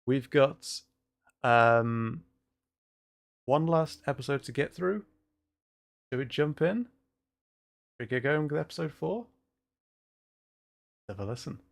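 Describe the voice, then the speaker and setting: A man hosting a casual livestream chat, speaking haltingly with repeated words and frequent chuckles. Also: mid-range voice, lively intonation, gravelly. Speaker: livestream host.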